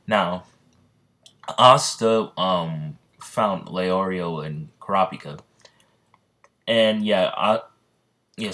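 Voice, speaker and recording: A man's voice: low-pitched, pushed and bright. A sleepy young man casually making up a fan story, rambling with lots of ums and restarts. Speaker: YouTuber narrating a fan story.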